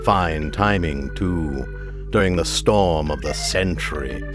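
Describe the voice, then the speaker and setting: An actor playing a grave, theatrical narrator of a suspense mystery serial in old-time radio style, speaking deliberately. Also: male voice, extremely low pitch. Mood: ominous, grave. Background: loud music.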